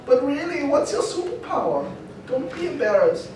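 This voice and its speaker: A woman's voice: high-pitched, very animated, moderate pace, darkish tone. A woman playing a patient but exasperated teacher of young superhero children in a comedic script read live. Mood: coaxing, patient. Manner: coaxing, wearily exasperated. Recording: echoey panel room, distant mic, faint noise.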